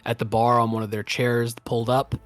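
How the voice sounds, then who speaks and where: A man narrating as game master of a tabletop game, relaxed and pausing often with fillers. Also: mid-range voice, soft background music. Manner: relaxed, many filler pauses.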